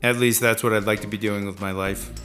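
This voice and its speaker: A man with a slightly low voice gives casual interview answers as an actor. He speaks hesitantly, over faint background music. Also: fairly even intonation, brisk, fairly bright tone.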